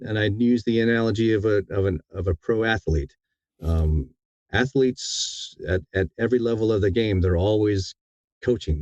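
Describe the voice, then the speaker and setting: A man giving thoughtful interview answers in a halting, deliberate way, often repeating words as he thinks. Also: low-pitched, dark tone, no echo, dull audio. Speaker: audiobook narrator, interview guest.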